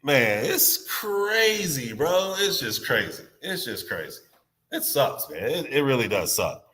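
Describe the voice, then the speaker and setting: A man's voice: medium pitch, very animated, unhurried, bright, forceful tone. A man with a stuffy nose, guesting on a casual podcast chat, rambling and restarting his sentences. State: stuffy nose, under the weather.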